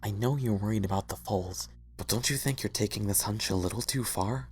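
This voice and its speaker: A man playing a gentle, tired and patient cartoon pony husband, speaking softly and reassuringly. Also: fairly low pitch, brisk, breathy edge. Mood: concerned.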